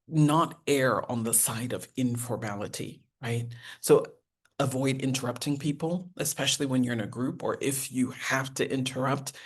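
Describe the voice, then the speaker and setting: A woman, teaching a professional etiquette masterclass in an earnest, encouraging way with deliberate enunciation. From United States. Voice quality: deep voice. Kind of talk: masterclass lecture.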